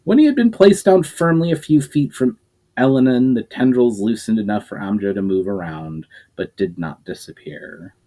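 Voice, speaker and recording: A man reading a fantasy novel aloud as a storyteller, expressive, with occasional slips and restarts. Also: medium pitch, moderate pace.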